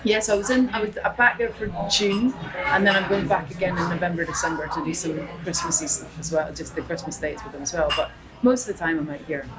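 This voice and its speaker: A woman from Scotland with a Scottish accent, a musician guest chatting in an animated way and laughing easily. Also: fairly low pitch, a little fast, few pauses, low-quality recording. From Scotland (St Andrews). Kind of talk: casual chat. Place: busy public spot in Los Angeles. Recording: loud crowd chatter behind.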